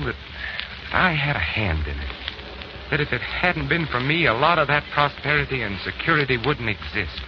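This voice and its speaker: Actor as an animated, ardent young radio-drama bachelor. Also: male voice, medium pitch, natural voice. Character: earnest, ambitious, love-struck young Canadian bachelor. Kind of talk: 1940s radio acting. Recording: noisy, muffled 1940s radio recording.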